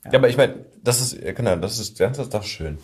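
A man's voice: medium pitch, animated, quick pace, a little mellow, husky. A man from northern Germany hosting a podcast, asking questions in a casual, conversational style. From Worpswede, northern Germany. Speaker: podcast host, interviewer.

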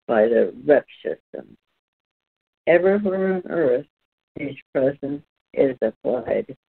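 Slow, deliberate man on a phone-like line. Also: mid-range voice, creaky. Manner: halting, deliberate phrasing with frequent breaks. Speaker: presenter reading aloud and discussing. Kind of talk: measured reading aloud from a spiritual book, then discussion.

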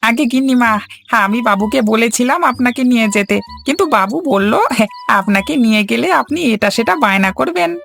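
A man puts on a high, wheedling voice for a sly servant in a cartoon tale. He fawns on his master at every turn. Music runs clearly behind him. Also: a little fast, fairly bright tone, heavily acted. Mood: sly, fawning. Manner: fawning, wheedling. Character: wheedling young male servant, sly and fawning. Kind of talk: Bengali cartoon story voice acting.

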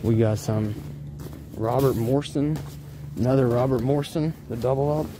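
A man's voice: medium pitch, steady tone, moderate pace. Slangy man muttering over warehouse noise. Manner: slangy, muttering short phrases. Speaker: vlogger, vintage clothing reseller. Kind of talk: casual off-the-cuff commentary while sorting clothes. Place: large indoor thrift warehouse. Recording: clear background noise of a warehouse.

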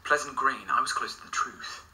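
An actor playing an earnest, easily flustered male podcaster in a radio drama, halting and stammering when thrown. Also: medium pitch, sharp tone, breathy edge, full character voice. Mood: earnest, insistent. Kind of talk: naturalistic radio drama acting. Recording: distant location-style recording with background noise.

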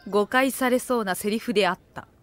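A woman's voice: mid-range voice, brisk, slight background noise, lightly acted. A woman playing a wry narrator who makes dry asides in a comedic audio drama. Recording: music behind the voice.

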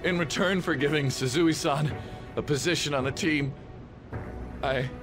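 An actor playing a disgraced male teacher who makes a halting, solemn confession in a video game drama. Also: on the high side, natural voice. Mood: broken, remorseful. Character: disgraced male teacher, broken and remorseful, confessing his crimes. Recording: music behind the voice.

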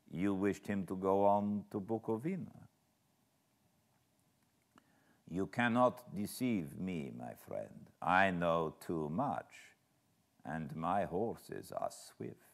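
A man voicing a strange, commanding coach driver from a gothic storybook, courteous yet sinister, speaking with deliberate care. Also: low voice, big pitch swings, gravelly, exaggerated voice. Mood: menacing, knowing.

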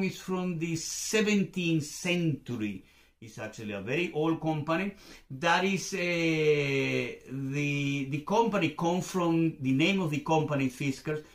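A middle-aged Venezuelan man whose first language is Spanish, speaking English with a Spanish accent as a YouTube host, hesitant with many fillers and restarts, at a desk in a room at home. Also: on the high side. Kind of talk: casual explainer to camera. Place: home room with shelves, indoors. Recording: roomy echo.

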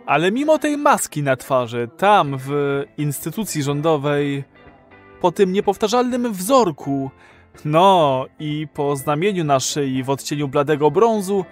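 Earnest rebel citizen over music. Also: male voice, on the high side, very animated, a little slow. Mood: insistent. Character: rebellious citizen of a dystopian future, earnest male. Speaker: actor in an audio drama. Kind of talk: radio play acting.

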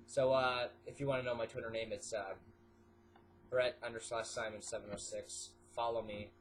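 A man's voice: mid-range voice, flat delivery, normal speed, a little hiss or hum. A teenage boy with a stuffy nose vlogging casually to a webcam in his small bedroom, rambling with lots of fillers and jumping from topic to topic. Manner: rambling, filler-heavy, jumps between topics. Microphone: webcam at desk.

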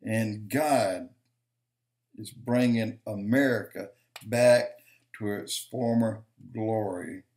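An older man giving slow, emphatic preaching-style commentary to a webcam in his home office, counting off points and repeating lines for stress. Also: on the low side, a little mellow. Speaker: video commentator.

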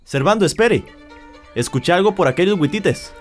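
A man's voice: sits high, lively intonation, moderate pace, soft background music. Wary, scheming man. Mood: alert, suspicious. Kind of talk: radio soap opera acting.

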